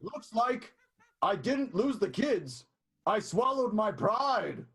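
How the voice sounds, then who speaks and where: An actor playing a blunt, scheming vaudeville performer in an improvised radio soap, with a husky, halting conversational delivery. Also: medium pitch, big pitch swings, background noise. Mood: joking.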